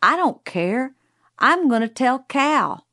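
Woman narrator as Southern boy, earnest, insistent, plain-spoken, high voice, audiobook character, dry sound. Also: very animated, takes it slowly, pushed and bright, no echo, heavily acted. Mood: firm, uneasy. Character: earnest Southern boy, older brother, worried and stubborn.

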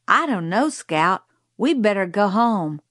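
A woman's voice: mid-range voice, very animated, a little slow, fairly bright tone, no room sound, clean studio sound. A woman voicing an earnest, insistent Southern boy in an audiobook, plain-spoken, with a Southern US accent. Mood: firm, uneasy. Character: earnest Southern boy, older brother, worried and stubborn. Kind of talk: audiobook character voice.

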